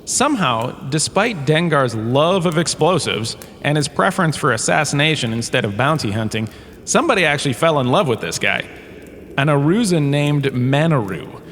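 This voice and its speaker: A man's voice: a little high, big pitch swings, normal speed, a bit bright. A man playing a washed-up holodrama star who lectures in a comedic audio drama, chatty and wry, with theatrical storytelling. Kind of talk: comedic scripted lecture narration. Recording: long reverberant hall, faint ambient noise.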